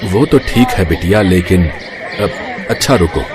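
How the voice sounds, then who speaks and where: A man playing a poor village father, husky, hesitant and apologetic, in a Hindi animated moral story. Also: low voice, a little slow, over music, crowd noise. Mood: gentle, apologetic. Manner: hesitant, soft-hearted.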